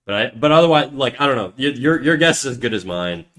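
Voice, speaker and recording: A man's voice: mid-range voice, animated, quick pace, a bit bright. A man chatting casually on a livestream while he draws. He rambles, swears freely, mocks himself and often restarts his sentences. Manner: rambling, profane, self-mocking, with frequent restarts. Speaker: livestreamer and artist.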